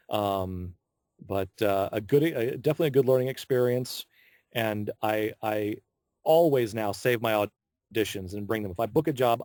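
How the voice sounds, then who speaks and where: A man talking casually as a voice actor guest on an interview show, chatty and telling stories in an animated way. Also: on the low side, no room sound, clean studio sound.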